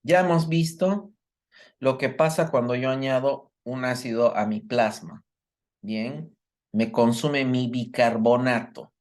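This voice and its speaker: A man's voice: mid-range voice, slow pace, a little mellow. A man giving a medical lecture, explaining step by step and checking that his students follow. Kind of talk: live online workshop lecture.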